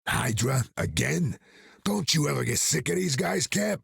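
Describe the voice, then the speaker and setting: Tough, grumbling game fighter, heavily creaky and raspy, weary. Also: male voice, fairly high pitch, expressive, unhurried, no room sound, studio quality, full character voice. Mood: weary, wry. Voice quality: very gravelly, raspy.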